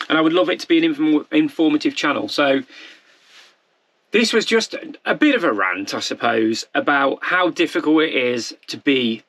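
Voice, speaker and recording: A man's voice: on the high side, a bit bright. A man in his forties, a vlogger talking off the cuff to camera in a candid, rambling, self-deprecating way. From United Kingdom. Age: 40s.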